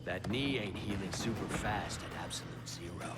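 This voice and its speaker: An actor playing a bitter, sarcastic supervillain with a grudge in a video game. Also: male voice, low voice, normal speed, lightly acted. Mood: sardonic, mocking. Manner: sarcastic, mocking. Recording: loud music behind.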